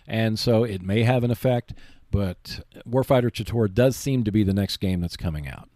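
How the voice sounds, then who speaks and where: Male gaming podcaster, fairly deep, conversational explainer, very dry recording with no room sound. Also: dark tone, no echo. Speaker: podcast host. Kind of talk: solo gaming podcast, explanatory talk with tips.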